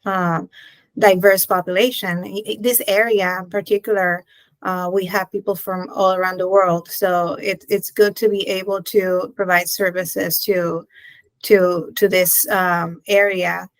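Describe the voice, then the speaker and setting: A woman in her thirties with a Puerto Rican accent, a physician answering interview questions in a measured, thoughtful way with frequent pauses and restarts. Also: on the low side, flat delivery, no room sound, poor audio. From Puerto Rico. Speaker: physician guest.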